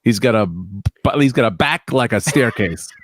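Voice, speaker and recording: A man's voice: lowish voice, animated, normal speed, no room sound, clean studio sound. A man co-hosting a comedy podcast, chatting casually in a slangy, storytelling way. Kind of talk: casual chat.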